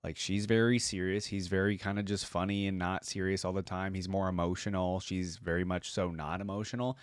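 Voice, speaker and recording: A man's voice: deep voice, level tone, brisk, clear, resonant tone, no echo, clean studio sound. A man hosting a reaction video, talking casually and off the cuff about what he hears.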